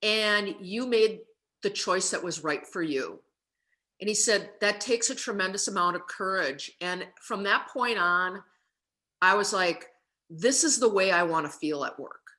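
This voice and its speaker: Woman in her 50s, bright forceful voice, earnest, video call from her living room. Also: medium pitch, measured pace. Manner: earnest, emphatic. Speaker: alumni panelist. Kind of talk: storytelling on a panel.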